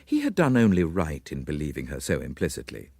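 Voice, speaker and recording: A man narrating a literary story in a dramatic, measured and formal manner. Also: rumbling low, huge pitch swings, gravelly, a little mellow, dry recording.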